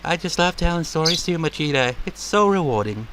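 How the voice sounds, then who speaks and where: A teenage schoolgirl who loves telling stories, speaking quickly and a little higher than usual, as a man reads her lines aloud. Her voice stays casual and close to an everyday speaking voice. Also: lively intonation, background noise, lightly acted. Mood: fond.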